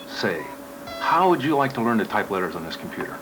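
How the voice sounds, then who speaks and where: A man's voice: lowish voice, sing-song, a little fast, music in the background. A middle-aged man playing an upbeat, coaxing dad in a scripted educational film. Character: upbeat suburban dad coaxing his child. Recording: noisy old 16mm film soundtrack.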